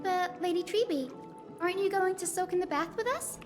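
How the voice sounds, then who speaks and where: A woman's voice: very high voice, a little fast, over music. A woman playing a polite, earnest young female scholar in a video game. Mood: polite, pleased. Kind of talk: scripted game voice acting.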